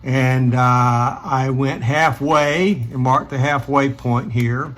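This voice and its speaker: A man hosting a casual hands-on DIY tutorial, explaining step by step in an unhurried way. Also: medium pitch, steady tone, fairly bright tone, slight background noise. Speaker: DIY hobbyist host.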